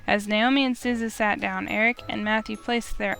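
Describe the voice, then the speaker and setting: A woman's voice: medium pitch, steady tone, normal speed, gravelly, fairly bright tone. A woman reading a visual novel aloud in character as the heroine, with music behind her. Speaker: game streamer reading the heroine.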